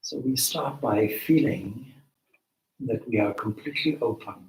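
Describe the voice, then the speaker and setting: Male meditation guide: lowish pitch, very unhurried, deliberate delivery with long pauses, recorded in an echoing room. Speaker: meditation teacher, swami. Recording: roomy, echoing space.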